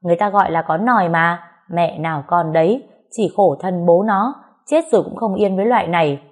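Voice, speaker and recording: A woman's voice: fairly low pitch, brisk, close to an everyday voice. Woman acting a nosy, scornful gossiping neighbour. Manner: nosy, judgmental, disparaging.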